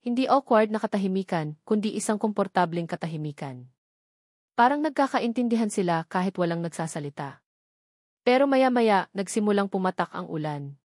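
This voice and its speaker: Casting: female storyteller for romance fiction, middle-pitched voice, very little room sound, scripted delivery. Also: animated, fairly quick, darkish tone, no room sound, clean studio sound. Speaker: story narrator.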